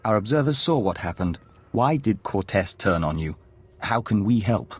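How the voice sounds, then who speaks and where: An actor playing a gentle, formal envoy of an insect hive in a video game, measured and precise. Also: male voice, lowish voice, very animated, slightly rough. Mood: earnest, formal.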